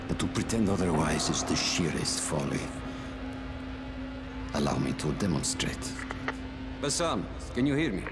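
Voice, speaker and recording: An actor playing a cold, sadistic warlord in a video game, speaking with measured, mocking menace and grand phrasing. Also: male voice, deep voice, very animated, over loud music. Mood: philosophical menace. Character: cold, sadistic, theatrical male warlord villain who philosophizes about chaos. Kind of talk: video game cutscene acting.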